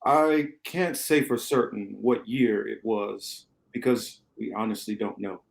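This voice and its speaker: Guy doing a calm wise rebel captain for a radio play, measured, explains stuff, echoey room. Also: on the low side, gravelly. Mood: calm, grave. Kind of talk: amateur radio play script reading.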